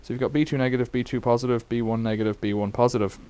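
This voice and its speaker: A man's voice: mid-range voice, fairly even intonation, very fast, mellow, clear, resonant tone. A middle-aged man casually demonstrating a bike repair in a cluttered workshop, rambling with wry asides.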